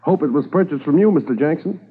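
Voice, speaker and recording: Man playing a higher-pitched keen police corporal. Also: animated, fast talker, lightly acted. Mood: keen, polite. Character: keen, deferential male Mountie corporal, the sidekick. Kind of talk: 1950s radio drama acting. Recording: noisy, tinny old radio recording.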